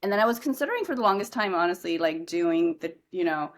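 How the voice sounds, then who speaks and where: Low-voiced young woman founder from India. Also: expressive, fast talker. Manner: chatty, many fillers and restarts. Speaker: startup founder, guest. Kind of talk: casual podcast interview.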